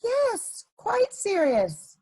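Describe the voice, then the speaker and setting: High-pitched, swooping scheming society adventuress, played by a woman. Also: wildly swooping pitch, warm tone, exaggerated voice. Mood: playful. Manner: theatrical, coquettish, drawn-out emphasis. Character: scheming, seductive society adventuress, worldly and brazen. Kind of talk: dramatic reading of a period comedy play.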